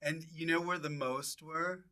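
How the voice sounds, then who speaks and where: A man hosting a casual comedy podcast, joking playfully and given to sudden loud exclamations. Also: on the high side, fairly even intonation, unhurried. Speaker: podcast host.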